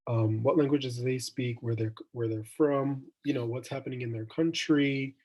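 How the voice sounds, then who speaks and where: A man's voice: medium pitch, fairly even intonation, normal speed, a little mellow, slight background noise. A young man from Jamaica, hosting a casual online student discussion, thoughtful and meandering with frequent ums and restarts. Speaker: student event host.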